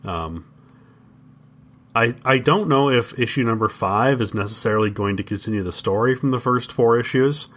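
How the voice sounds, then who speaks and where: A man co-hosting a podcast, casually discussing comics in halting, measured phrases. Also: mid-range voice, a bit bright. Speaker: podcast co-host.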